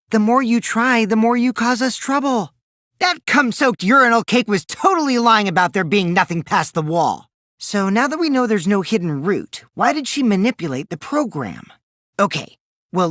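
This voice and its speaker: A man's voice: sits high, pushed and bright, dry recording, clean studio sound, heavily acted. A man voicing a mischievous, taunting teenage boy in an English video game, with childish, singsong mockery and theatrical delivery. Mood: mocking, then explaining. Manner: childish, singsong mockery, theatrical swings from gleeful to coolly sly.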